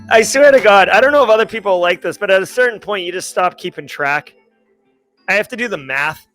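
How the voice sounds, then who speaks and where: A man's voice: sits high, animated, normal speed, long pauses, a bit bright, soft background music. A man in his forties hosting a casual livestream, reading chat questions in a rambling, upbeat way and often stopping to correct himself. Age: 40s.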